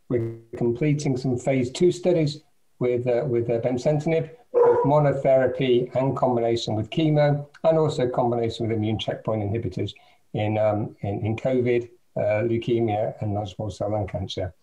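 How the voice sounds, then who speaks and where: A man, a biotech chief executive, giving a measured self-introduction on an online panel with frequent ums and repeated words. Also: medium pitch, mellow, poor audio. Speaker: biotech company CEO, panelist.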